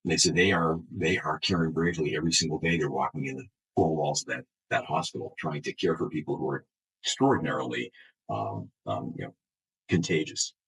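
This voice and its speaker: A man speaking as an executive guest in an interview, answering off the cuff in a halting way, repeating words and restarting phrases. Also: rumbling low, creaky, fairly bright tone, slightly rough, poor audio. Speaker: health system chief executive, interview guest.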